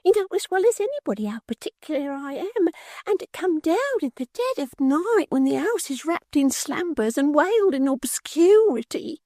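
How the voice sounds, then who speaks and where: Squeaky fussy maid, way up high, all drama and affectation, dry close sound. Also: female voice, big pitch swings, normal speed, warm tone, dry recording. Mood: coy shrill. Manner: shrill, affected, melodramatic. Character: prim hysterical young maidservant, woman.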